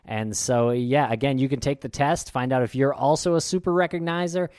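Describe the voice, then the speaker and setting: A man's voice: medium pitch, steady tone, brisk, a little mellow, no room sound, clean studio sound. A man co-hosting a podcast, talking in an easygoing way with frequent fillers. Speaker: podcast co-host. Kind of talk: casual podcast chat.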